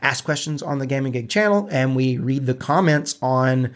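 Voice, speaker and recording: A man's voice: mid-range voice, moderate pace. A man hosts a laid-back gaming podcast, his voice a bit stuffed up from allergies. He talks in an easygoing, chatty way and pokes fun at himself. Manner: relaxed, chatty, self-deprecating. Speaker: podcast co-host. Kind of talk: casual gaming podcast chat.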